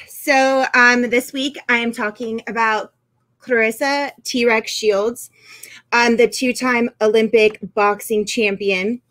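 Higher-voiced woman co-hosting sports talk. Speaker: sports talk show co-host. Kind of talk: casual chat and sports report. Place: indoors, on a video call.

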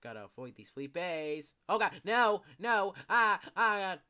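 A young man giving casual, excitable commentary while playing a video game, swearing often and yelling when chased. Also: high-pitched, unhurried, smooth. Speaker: gameplay commentator. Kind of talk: casual live gameplay commentary.

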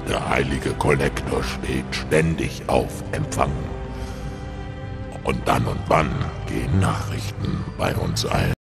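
An actor playing a solemn, cryptic alien priest who speaks formally and ceremoniously in a science fiction audio drama. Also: male voice, rumbling low, slow, creaky, full character voice. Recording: music behind the voice.

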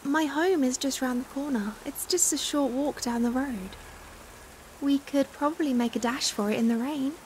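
A British woman playing a caring, fussing young stranger in a chatty, expressive ASMR roleplay. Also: sits high, normal speed, lightly acted, poor audio. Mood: concerned, fussing. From United Kingdom. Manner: chatty, expressive, giggly hesitations. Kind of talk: acted ASMR roleplay, conversational. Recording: hiss and steady rain sound. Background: rain falling.

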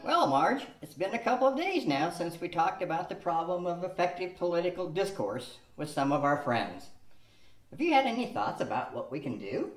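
A man's voice: high-pitched, big pitch swings, moderate pace, soft background music. An older man playing Elmer, an earnest, rueful husband, in an amateur radio play, reading plainly and wistfully into a stand mic. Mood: hopeful. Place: small studio with a draped backdrop. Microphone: stand mic at chest height. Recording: echoey room.

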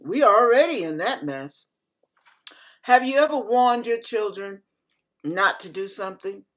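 Female minister for devotional read: unhurried, husky-edged voice, earnest and instructive. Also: mid-range voice, animated. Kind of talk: scripted devotional sermon.

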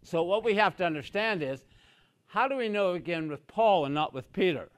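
A man's voice: high voice, lively intonation, moderate pace, no room sound. An older man giving an expository Bible lesson in a small church room, speaking from a podium mic and often stepping away from it, emphatic and repeating key phrases. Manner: emphatic, repeats "so very clearly", gestures with both hands. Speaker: Bible teacher. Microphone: podium mic, walks away from it.

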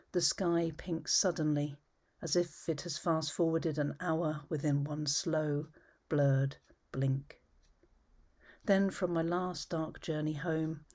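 A woman reading her own poems at home, measured and thoughtful, often trailing into a whisper. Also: low-pitched, a little mellow. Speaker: poet. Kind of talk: poetry reading. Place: home study with bookshelves, indoors.

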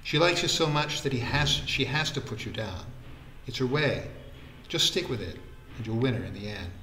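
A man playing a kind, gently encouraging male friend in audiobook dialogue, speaking sincerely. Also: mid-range voice, expressive, normal speed, echoey room, soft background music, rough recording. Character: kind, reassuring male friend, the story's narrator-hero.

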